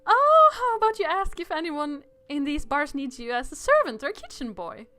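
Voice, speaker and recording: A woman reading as a brisk, animated young princess. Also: high-pitched, pitched above the performer's own voice, exaggerated voice. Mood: upbeat persuading. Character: bold, bossy fairy-tale princess, protective of her companion. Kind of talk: reading visual novel dialogue aloud. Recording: music playing behind the voice.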